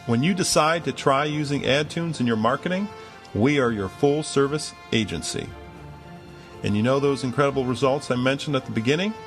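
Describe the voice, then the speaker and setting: A man's voice: mid-range voice, brisk. A man reading a scripted sales narration in a persuasive, rhetorical way. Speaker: marketer narrating a promotional video. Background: background music.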